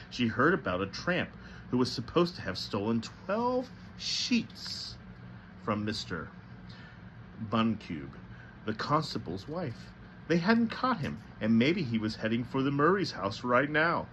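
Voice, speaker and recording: A man narrates a novel in a mid-pitched, bright voice. His reading is a little halting, with small stumbles. There is faint noise behind him. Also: rough recording. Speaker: storybook reader.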